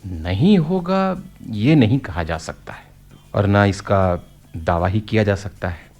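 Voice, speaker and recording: An actor playing a measured, reassuring doctor in a radio play, deliberate and courteous, slipping in English phrases. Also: male voice, fairly low pitch, lively intonation, a little mellow, faint music behind, exaggerated voice. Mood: cautious, reassuring. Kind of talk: Hindi radio play acting.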